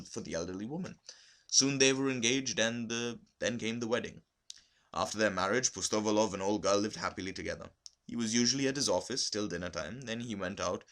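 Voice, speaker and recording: Bright, low-voiced man reading a story. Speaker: amateur audiobook reader. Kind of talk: scripted literary narration.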